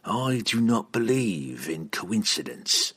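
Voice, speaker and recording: A man voicing a cold, taunting assassin with a slow, purring, menacing delivery in a fantasy audiobook. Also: on the low side, very animated, a bit bright, breathy edge, full character voice. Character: sinister, cold, taunting human male assassin.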